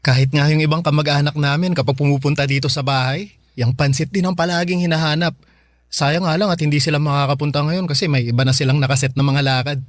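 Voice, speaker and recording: A man's voice: on the high side, steady tone, quick pace, no room sound, studio quality, lightly acted. A man playing a shy, sincere young suitor from the provinces in an audio drama. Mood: friendly. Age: young adult. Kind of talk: Tagalog audio drama acting. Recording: music behind.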